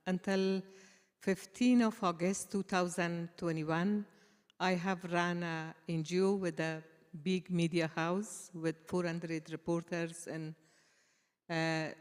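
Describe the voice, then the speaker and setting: Woman journalist at a dinner, halting delivery, very slow and clear, long hall echo. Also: lowish voice. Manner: halting, with many pauses and fillers. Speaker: journalist, guest speaker. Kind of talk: dinner speech. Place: large banquet hall. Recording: echoey hall with long reverb.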